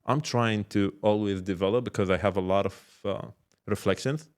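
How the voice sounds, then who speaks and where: Male podcast guest, startup mentor type, lowish voice, casual and reflective, restarts phrases as he explains. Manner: halting, repeats words, explains with examples and check-questions. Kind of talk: casual reflective interview talk.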